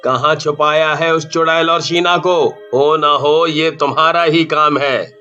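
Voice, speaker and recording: A man voicing an alarmed, take-charge villager in a cartoon story, emphatic and excitable. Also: on the high side, measured pace. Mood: accusing. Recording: muffled band-limited audio, music behind.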